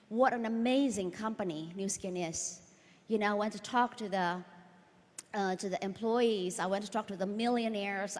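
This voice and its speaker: A woman from Indonesia in her thirties giving an earnest motivational talk to a conference audience, often repeating words and asking the crowd questions. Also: medium pitch. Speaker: conference speaker. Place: large hall. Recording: long hall reverb.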